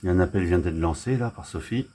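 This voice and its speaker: Low-voiced man casually commenting. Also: racing, warm tone. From France. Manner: chatty, thinking aloud. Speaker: amateur radio listener commenting. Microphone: smartphone filming near receiver.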